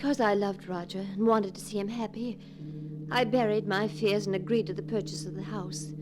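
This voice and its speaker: An actress playing an uneasy, devoted young wife in a 1940s radio drama, speaking in an earnest, careful, dramatic way. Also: female voice, medium pitch, steady tone, fairly quick, over music, close to an everyday voice. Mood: uneasy, foreboding.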